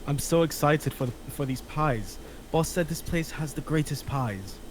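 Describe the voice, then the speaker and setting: A man's voice: on the high side, lively intonation, measured pace. A man playing a refined British gangster in his thirties in a script reading, genteel and effusive. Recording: noisy room recording.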